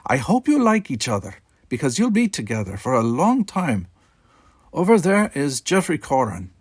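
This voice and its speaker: Man putting on a higher-pitched Russian starship officer. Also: wildly swooping pitch, normal speed, breathy edge, no room sound, clean studio sound. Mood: matter-of-fact, critical. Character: Commander Chekhov, stern Russian male starship officer.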